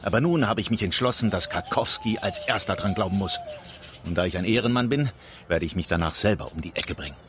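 Melodramatic, swooping cuckolded husband. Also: male voice, on the low side, expressive, fast, a bit bright. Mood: wronged, vengeful. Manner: theatrical, muttering to himself. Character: vengeful, bumbling cuckolded husband, melodramatic and scheming. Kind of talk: mock-tragic radio play monologue.

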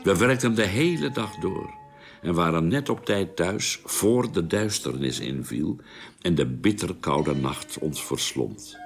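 Very deep-voiced calm space expedition doctor, radio play, lively intonation, takes his time. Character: calm male doctor, space expedition narrator and amateur archaeologist. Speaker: narrator and actor. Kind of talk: radio drama narration and dialogue.

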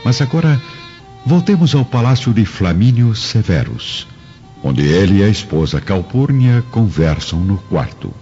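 A Brazilian man narrates a radio drama in a deep, very animated voice. The delivery is scripted and theatrical, set over clear music, and the recording is dull and lo-fi. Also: unhurried.

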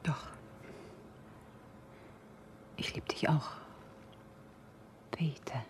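A woman's voice: slow pace, whispered, natural voice. An actress playing an earnest, worried woman pleading with her lover in a radio drama, speaking seriously and with some hesitation. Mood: tender, reluctant. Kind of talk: German radio drama acting.

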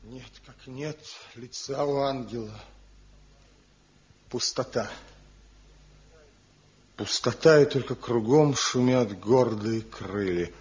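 A man's voice: medium pitch, slow pace, long pauses, a little mellow, slightly rough. An actor in a 1970s radio play voicing a man back after seven years, impassioned and pleading. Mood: brooding. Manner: impassioned, pleading, swinging between grief and resolve. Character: man returned after seven years, passionate, tormented lover. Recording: dull lo-fi old radio recording.

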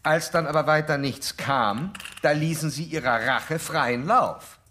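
An actor playing a formal, self-assured psychoanalyst in a radio drama, pressing a patient with analytical insistence. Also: male voice, a little high, a little slow, pushed and bright, slight background noise. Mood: pressing, confident. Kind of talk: German radio drama acting.

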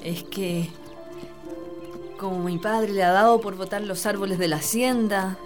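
A woman playing a young wealthy lady in a radio drama, tender and affectionate, with expressive, sighing delivery. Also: mid-range voice, a little slow, close to an everyday voice. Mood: nostalgic. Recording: loud music behind radio drama dialogue.

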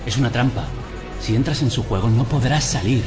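An actor playing an urgent, suspicious, rebellious inner voice, insistent and warning, in a surreal radio drama. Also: male voice, mid-range voice, a little fast, music in the background, lightly acted. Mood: alarmed.

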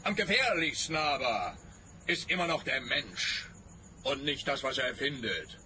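A man's voice: mid-range voice, animated, measured pace, bright, forceful tone, slight background noise, low-quality recording. A man playing an opinionated, lecturing character in a radio play, emphatic and rhetorical.

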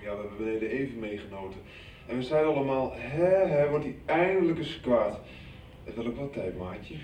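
A man's voice: medium pitch, lively intonation, a little fast, a little mellow, far from the mic, natural voice. A man playing an easygoing, casual young friend in a radio soap, in an echoey room away from the microphone. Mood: teasing. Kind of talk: 1990s radio soap acting. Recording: echoey room, off-mic, faint noise.

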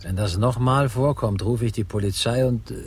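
A man's voice: mid-range voice, moderate pace, a little mellow, husky, lightly acted. An actor playing an irritated, suspicious man in a radio crime drama, curt and accusing. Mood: suspicious, stern.

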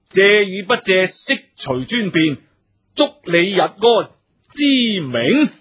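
An actor playing an anxious, easily flustered wealthy husband in a Cantonese radio drama, agitated and given to sighing and stammering. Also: male voice, high-pitched, sing-song, slow pace, a bit bright, breathy edge, full character voice. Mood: indignant.

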